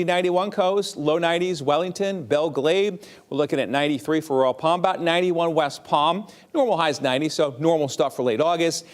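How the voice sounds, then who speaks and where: An American man presenting a TV weather forecast in a conversational, ad-libbed way, stressing key phrases. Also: fairly high pitch. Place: TV news studio.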